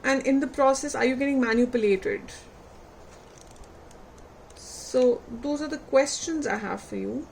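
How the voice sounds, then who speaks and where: A woman reading tarot cards for her viewers, unhurried and reflective, putting gentle questions to them and losing her voice. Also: sits high, creaky, slight background noise. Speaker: tarot reader.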